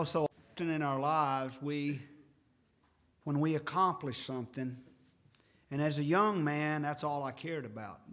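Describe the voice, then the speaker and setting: A man's voice: mid-range voice, fairly bright tone. A man in his fifties preaching a sermon in an earnest, conversational way with folksy asides. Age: 50s. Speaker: pastor.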